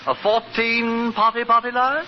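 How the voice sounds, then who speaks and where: An actor playing a polite, fussy, easily astonished gentleman as straight man in a 1940s radio comedy. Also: very high voice, level tone, moderate pace. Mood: courteous. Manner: proper, courteous, fussy. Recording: very noisy, muffled old radio recording.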